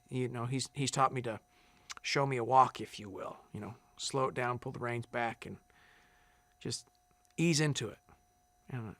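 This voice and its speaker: A man who sings as a contestant, answering interview questions in a relaxed, rambling way with many fillers and restarts. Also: mid-range voice, no room sound. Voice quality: big loud singing voice. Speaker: singing contestant.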